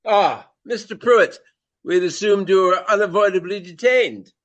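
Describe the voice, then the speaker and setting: An actor playing a vain, jovial Regency stage actor with courtly, effusive, old-fashioned speech in a radio play. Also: male voice, high voice, a little slow, dull audio. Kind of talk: radio drama acting.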